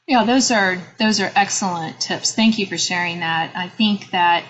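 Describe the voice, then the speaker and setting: A woman's voice: medium pitch, moderate pace, a bit bright. Brief: middle-aged woman host, chatty and laughing, slightly dull recording with faint hiss. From Northern Virginia. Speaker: podcast host. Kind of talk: casual interview chat.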